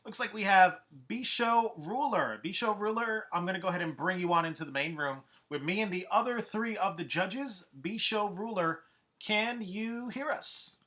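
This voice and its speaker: Bright, high-voiced male showcase host. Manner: upbeat, brisk emcee. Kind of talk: live showcase hosting.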